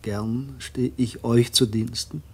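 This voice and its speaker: An actor playing a courteous but guarded monk herbalist in a radio drama, speaking formally. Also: male voice, medium pitch, steady tone, slow, a little mellow, husky, no room sound. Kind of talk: German radio drama acting.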